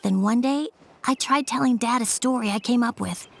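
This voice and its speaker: Earnest shy boy, slightly high with some fry; soft delivery. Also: normal speed, slight background noise. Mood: wistful. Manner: soft, hesitant storytelling. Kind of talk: game voice acting.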